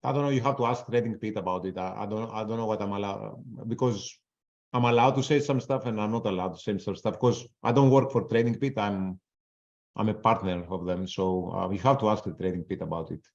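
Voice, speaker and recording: A man's voice: on the low side, brisk, gravelly, a little mellow. A man with a non-native accent in English, giving blunt, casual live trading commentary and answering audience questions. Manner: blunt, casual, swears freely. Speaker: trader presenting a webinar. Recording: dull lo-fi webinar audio.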